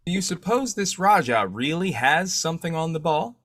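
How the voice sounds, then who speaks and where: A man playing an urbane newspaper publisher in an old-time radio drama, measured, polite and formal with a sly streak. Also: fairly high pitch, sing-song, a bit bright. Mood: skeptical.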